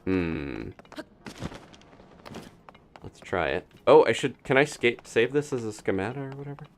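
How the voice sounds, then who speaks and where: A man's voice: medium pitch, sing-song, a little slow, a little hiss or hum. A man chatting casually through a live-streamed game, wondering aloud and laughing often. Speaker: streamer playing a video game.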